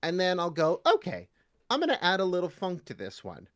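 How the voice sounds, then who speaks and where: A man's voice: high-pitched, huge pitch swings, brisk, a bit bright. A man speaking as a guest voice actor in a casual podcast interview, acting out imagined remarks as he explains.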